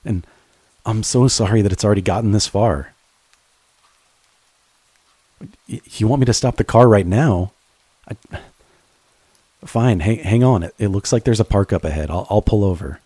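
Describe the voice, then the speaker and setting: Guy acting as devoted boyfriend type, low mellow voice, earnest n halting, romantic audio roleplay, really close and dry. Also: husky, no echo. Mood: anxious, apologetic. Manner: halting, earnest, with stammered repeats. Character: devoted young man, anxious, tender, teasing friend turned lover. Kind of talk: intimate romantic audio roleplay, speaking to the listener.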